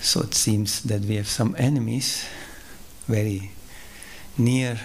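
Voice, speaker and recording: A man from southern Switzerland whose first language is Italian, giving a spiritual lecture at a temple in English with an Italian accent. He speaks haltingly, pausing, using fillers and clearing his throat. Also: fairly low pitch, fairly even intonation, slight background noise. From Ticino, southern Switzerland. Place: temple hall.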